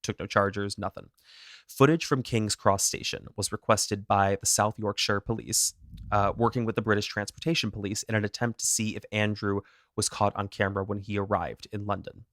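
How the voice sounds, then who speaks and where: Male podcast co-host, low register, casual true-crime storyteller, dry booth sound. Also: brisk, no echo. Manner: animated, self-deprecating, laughs at own pronunciation.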